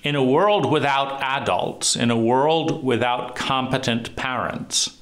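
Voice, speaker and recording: A man with a deep voice giving a reflective monologue, talking slowly and deliberately with frequent pauses and fillers. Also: fairly bright tone. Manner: slow, deliberate, pausing often with fillers. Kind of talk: reflective monologue on trauma and psychology.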